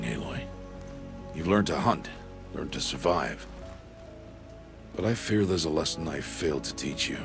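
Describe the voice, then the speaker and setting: A stern older hunter and guardian in a video game, his voice very low. He speaks in terse, formal, measured phrases, solemn throughout, with music and rain behind him. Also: vocal fry, heavily acted. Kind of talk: video game cutscene acting.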